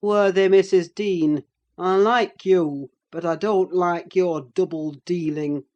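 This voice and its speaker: A woman voicing a wicked middle-aged gentleman in an audiobook, sardonic and accusing, with a deliberate delivery. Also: steady tone, lo-fi sound. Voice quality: deep. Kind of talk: audiobook character voice.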